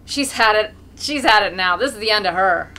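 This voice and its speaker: A woman voicing rowdy, jeering villagers in a story reading, shouting shrilly. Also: mid-range voice, expressive, normal speed, slight background noise.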